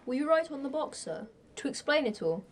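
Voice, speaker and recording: A woman's voice: sits high, very animated, a little fast. A woman with an English accent playing an earnest, plucky ten-year-old evacuee boy in a wartime radio drama, with a childlike way of talking. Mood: earnest, wistful.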